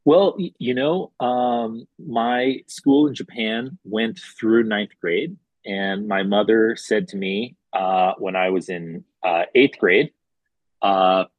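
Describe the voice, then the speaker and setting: Relaxed, husky-voiced man telling his story. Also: fairly low pitch, takes it slowly, no echo, dull audio. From Hawaii. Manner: relaxed, chatty, with fillers and restarts. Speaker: guest, restaurant executive. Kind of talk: conversational storytelling.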